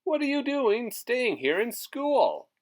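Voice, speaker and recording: A man playing a worried, exasperated father in a children's storybook, in loud, drawn-out outbursts. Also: very high voice, sing-song, voice pushed up, exaggerated voice. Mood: frantic yelling. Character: exasperated, worried father yelling at his young daughter.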